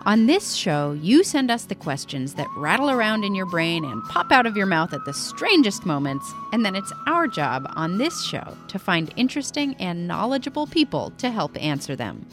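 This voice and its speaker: A woman's voice: mid-range voice, very animated, normal speed, hardly any pauses, over music. A woman hosting a podcast for children, narrating in an upbeat, playful way. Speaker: podcast host.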